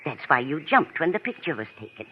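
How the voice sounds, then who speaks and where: Casting: frail but shrewd old auntie, animated and fond, on a muffled old radio recording. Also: female voice, sits low, brisk, a little hiss or hum. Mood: gently suspicious. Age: older. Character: frail, fond, sharp-witted old aunt. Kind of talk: 1940s radio drama acting.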